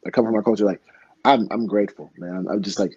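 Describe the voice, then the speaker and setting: A man's voice: on the low side, steady tone, fast, warm tone, breathy edge, no room sound, muffled recording. A young American man, a professional fighter, talking earnestly in a casual interview, rambling with many restarts. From United States.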